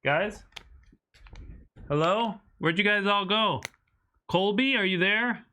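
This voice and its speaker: A man's voice: sits high, takes it slowly, bright, forceful tone, slight background noise, heavily acted. A man playing a flustered, hesitant middle-aged teacher fumbling with technology in a mockumentary. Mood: confused, searching. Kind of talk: acted mockumentary, video meeting.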